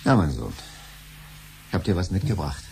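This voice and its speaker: A man's voice: deep voice, big pitch swings, normal speed, creaky, darkish tone, full character voice. A man acting an impatient, scheming husband and father in a 1970s German radio drama, speaking colloquially in a hesitant, pressing way. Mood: coaxing. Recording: slightly noisy 1970s radio recording with hum.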